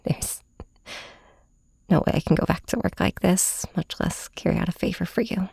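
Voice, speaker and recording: A woman playing a weary, heartbroken hero confiding in her nemesis, hesitant and soft-spoken in an intimate ASMR audio drama. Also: on the low side, steady tone, moderate pace, dry recording, clean studio sound, full character voice. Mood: resigned, cautious. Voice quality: breathy, whispery.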